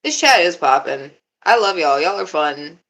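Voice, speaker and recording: Deep-voiced, giggly young woman streamer. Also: animated, moderate pace, a bit bright. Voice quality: deeper voice. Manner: laid-back, giggly, swears freely. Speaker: livestreamer. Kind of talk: casual live-stream chat.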